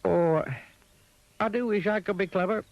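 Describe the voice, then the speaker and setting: A simple, cheerful little storybook man chirps along in a high, eager voice, as a man puts him on. His pitch leaps all over, with a husky grain underneath. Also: big pitch swings, normal speed, dull audio, heavily acted. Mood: wistful.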